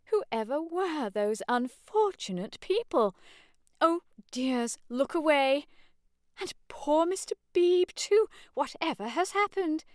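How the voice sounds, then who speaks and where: A woman's voice: high-pitched, animated, takes it slowly, a little mellow, no echo, clean studio sound, pitched above the performer's own voice. A woman voicing a fussy, flustered older mother who exclaims in prim, scandalized outbursts.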